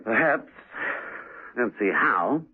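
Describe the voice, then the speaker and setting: A suave gentleman spy in an old radio serial. He is wry and thoughtful, with a husky voice and lively intonation. The sound is narrow and phone-like. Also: medium pitch, slow pace, exaggerated voice. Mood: brooding. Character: suave, brooding gentleman secret agent. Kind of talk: 1970s radio drama acting. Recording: narrow muffled 1970s radio recording.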